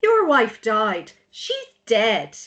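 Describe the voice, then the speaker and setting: A woman's voice: on the high side, wildly swooping pitch, extremely slow, a bit bright, highs cut off, full character voice. A woman playing a blunt, worried, exasperated sister of a grieving drunk in a drama table read. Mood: angry, exasperated. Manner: blunt, pleading.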